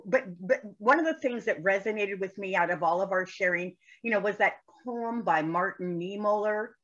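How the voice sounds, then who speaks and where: A woman's voice: medium pitch, moderate pace, a bit bright, dull audio. A woman participant on a video call telling a personal story in a workshop, earnest and full of conviction. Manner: earnest, recites a poem with conviction.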